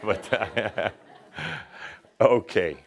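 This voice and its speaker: A man's voice: fairly low pitch, very slow. A middle-aged man co-hosting a live studio show in casual chat, joking and laughing often. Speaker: co-host.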